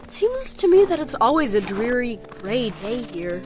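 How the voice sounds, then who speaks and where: A woman's voice: a little high, sing-song, moderate pace, darkish tone. Lady doing a earnest little boy in a fantasy tale, lilting, music playing behind. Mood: wistful, earnest. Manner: earnest, childlike phrasing. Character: eager, polite young boy with adventurous spirit. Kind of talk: dramatised fantasy audio story.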